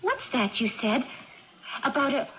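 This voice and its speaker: A woman's voice: sits high, sing-song, measured pace, fairly bright tone, heavily acted. An actress playing a bewildered, childlike young woman with amnesia in a 1980s radio drama, blunt and full of naive questions. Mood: suspicious, puzzled. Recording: muffled old radio broadcast, faint noise.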